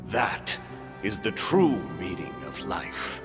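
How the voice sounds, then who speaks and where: An actor playing a brooding, grandiose philosophical villain in a video game, delivering a theatrical, measured monologue. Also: male voice, lowish voice, big pitch swings. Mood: solemn, musing. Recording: long reverb, loud music behind.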